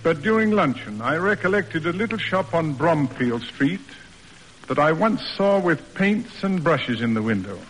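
An actor playing a stiff, proper middle-aged father in a classic radio drama, speaking in measured, roundabout formal sentences. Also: fairly high pitch, expressive, a bit bright. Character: stiff, proper, reserved father in period New England. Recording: old radio recording, reverberant, music behind.

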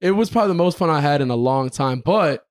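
A young man hosting a casual podcast chat, laid-back and laughing often, his voice a little raspy from a hard weekend. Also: fairly high pitch, animated, fast talker, dry recording, clean studio sound. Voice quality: raspy after a weekend of partying. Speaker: podcast host.

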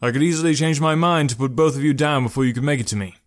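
A man's voice: mid-range voice, animated, fast talker, a little mellow, close to an everyday voice. A man reads a confident male archer in a fantasy audiobook. He speaks in a commanding, curt way with a dry, scornful edge.

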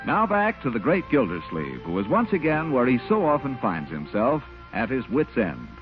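A man's voice: mid-range voice, wildly swooping pitch, normal speed, over loud music. A man giving a scripted 1940s-style radio announcer read. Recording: thin, tinny old radio recording.

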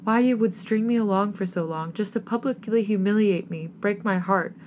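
A woman's voice: mid-range voice, moderate pace, a little mellow, a little hiss or hum, natural voice. A woman playing a betrayed young girlfriend in an audio story, bitter, sarcastic and ranting through heartbreak. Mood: heartbroken. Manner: ranting, scornful, tearful.

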